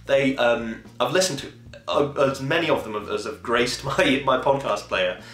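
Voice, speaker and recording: A man's voice: mid-range voice, normal speed, a bit bright, roomy sound. A man in his twenties chatting casually to camera in a rambling, enthusiastic way, swearing now and then. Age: 20s. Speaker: podcast host. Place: small home room, indoors.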